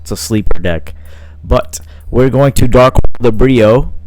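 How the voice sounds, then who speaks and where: A man's voice: medium pitch, measured pace, a little mellow, a little hiss or hum. A man giving casual play-by-play commentary on a card game match, thinking aloud with frequent fillers. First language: not Italian.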